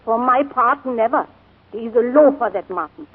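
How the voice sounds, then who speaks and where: An actress playing a blunt, grumpy but kind-hearted foreign cook who speaks clipped broken English in a 1940s radio comedy. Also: female voice, on the high side, moderate pace, slightly rough. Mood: curt, grumpy. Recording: tinny 1940s radio recording.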